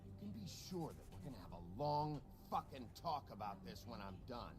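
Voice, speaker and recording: An actor playing a gruff, weary sheriff in a game, talking in a blunt, curt way, with game music underneath. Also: male voice, medium pitch, sing-song, moderate pace, close to an everyday voice.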